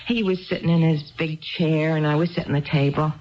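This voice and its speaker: A woman's voice: sits low, steady tone, fairly quick, lo-fi sound, close to an everyday voice. Hesitant, rambling wife in old radio drama, acted by a woman. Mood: confiding, hesitant. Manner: hesitant, rambling, seeking reassurance. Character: confused, chatty, insecure wife drinking alone in a bar. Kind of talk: 1970s radio drama acting. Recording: band-limited 1970s radio broadcast, faint music.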